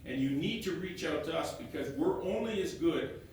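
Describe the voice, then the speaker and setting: A man with a loud voice presents slides to a meeting, stumbling and restarting phrases as he goes. He is heard from some way off in a very echoey room, with a faint rumble underneath. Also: animated, distant mic. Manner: halting, repeats words and restarts phrases. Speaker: elected county councillor. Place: meeting room, stepping back from a fixed mic.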